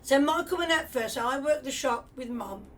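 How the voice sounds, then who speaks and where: A woman's voice: high-pitched, moderate pace, a bit bright, a little hiss or hum. An older woman of Cypriot family raised in England, telling rambling, reflective stories of family and the island. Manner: rambling, reflective storytelling with restarts. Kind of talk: reminiscing conversation.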